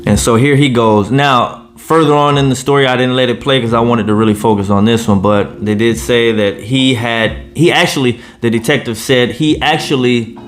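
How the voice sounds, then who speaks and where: A man's voice: mid-range voice, steady tone, moderate pace, few pauses, soft background music. A man in his late thirties giving blunt, profane, indignant reaction commentary. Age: 30s. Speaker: commentary vlogger.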